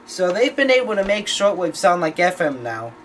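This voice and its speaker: A man's voice: high-pitched, sing-song, moderate pace, background noise. A man giving a casual, unscripted monologue as a hobbyist host, informal and opinionated in his way of talking. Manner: informal, opinionated, mildly swearing. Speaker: shortwave radio hobbyist host.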